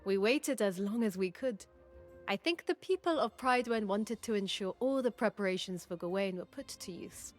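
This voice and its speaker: An actress playing a humble, sincere young queen in a fantasy video game, speaking in a measured, earnest way. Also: medium pitch, animated, soft background music, lightly acted. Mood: warm, humble. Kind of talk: dramatic game cutscene acting.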